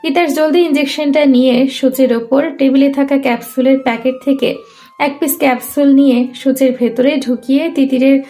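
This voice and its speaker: A woman's voice: sits high, steady tone, a little fast, mellow, faint music behind. A woman narrating a scripted romantic story.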